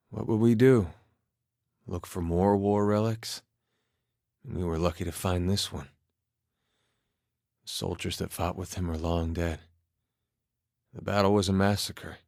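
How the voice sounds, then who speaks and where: A man's voice: deep voice, fairly even intonation, slow pace, creaky, pauses often, a little mellow, no room sound, clean studio sound, heavily acted. A man voicing a laid-back, wry farmer turned soldier in a video game, with a plainspoken, understated folksy manner. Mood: resigned, wistful. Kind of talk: performed game dialogue.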